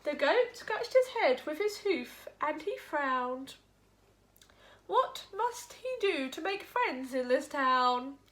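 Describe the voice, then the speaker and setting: A woman's voice: high voice, very animated, takes it slowly, fairly bright tone. A woman storyteller reading a rhyming children's story expressively.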